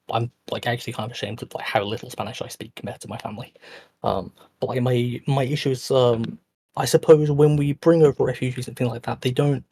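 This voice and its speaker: A man's voice: medium pitch, mellow, slightly rough. A young man, a panel guest in a live online debate, talking hesitantly with many fillers and restarts, tired late at night. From Birmingham, England.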